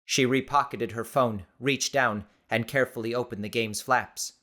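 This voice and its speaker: A male audiobook narrator with a medium-pitched voice. He reads scripted fiction in a measured, expressive way, with dramatic storytelling phrasing.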